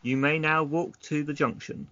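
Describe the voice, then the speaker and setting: A man acting as game master, reading gamebook narration aloud in an unhurried way and mixing it with casual chat. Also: a little high, fairly even intonation, sharp tone, dry recording. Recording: dull lo-fi recording.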